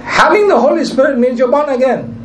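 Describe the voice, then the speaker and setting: Male pastor, high voice, strained from preaching, emphatic, faint background hiss. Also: expressive, a little fast, low-quality recording. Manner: emphatic preaching, repeats key phrases. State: nearly lost his voice. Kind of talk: sermon.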